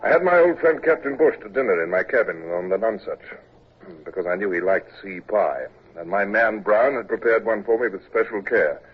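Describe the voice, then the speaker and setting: A man playing an impatient, brisk naval commodore who also narrates the story, in 1940s radio drama style. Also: lowish voice, close to an everyday voice. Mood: reflective. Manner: brisk, impatient, commanding, given to stammered restarts. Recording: dull old radio transfer with faint hiss.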